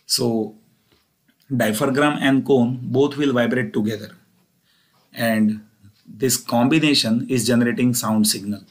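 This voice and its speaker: A man's voice: medium pitch, fairly even intonation, a little slow, pauses often. A man lecturing as an engineering teacher, explaining step by step and often repeating himself.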